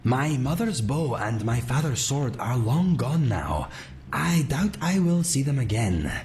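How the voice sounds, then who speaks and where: A man voicing a wistful, courteous male cat-folk adventurer in a video game, with formal, gentle phrasing. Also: medium pitch, sing-song, measured pace, a little hiss or hum. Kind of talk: video game character acting.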